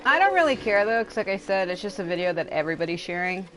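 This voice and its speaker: A woman's voice: mid-range voice, sing-song, fast talker, background noise. A woman chatting casually as a livestreamer, rambling and venting with casual swearing. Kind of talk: casual gaming livestream chat.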